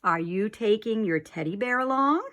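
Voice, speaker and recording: A woman's voice: medium pitch, lively intonation, moderate pace, a bit bright, pitched above the performer's own voice, close to an everyday voice. Storybook older sister, read by a woman: teasing, needling, pointed and sing-song.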